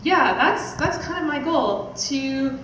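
A woman's voice: sits high, lively intonation, slow pace, a bit bright. A young woman giving an informal speech as host, casual, hesitant and a little self-deprecating. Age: young adult. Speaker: podcast producer hosting launch event. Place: small theatre stage, indoors. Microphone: stand mic, picked up by distant camera. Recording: very echoey, noisy hall from far off.

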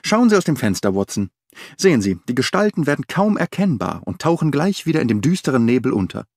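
A man's voice: medium pitch, big pitch swings, fast talker, dry recording, studio quality. A man voicing a restless, impatient middle-aged detective in an audiobook, speaking in a sardonic, declaiming manner. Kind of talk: audiobook character voice.

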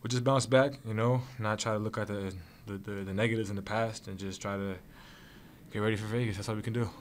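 A man's voice: lowish voice, steady tone. A young man, a basketball player, answering postgame questions in a casual, repetitive way at a desk mic in a press room. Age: young adult. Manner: casual, rapid, repetitive phrasing. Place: press room with logo backdrop. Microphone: desk mic on stand.

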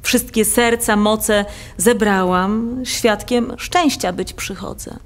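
An actress playing a haughty, romantic countess in a verse radio play. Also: female voice, medium pitch, fairly even intonation, slow, natural voice. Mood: lofty. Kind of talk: theatrical radio drama in verse.

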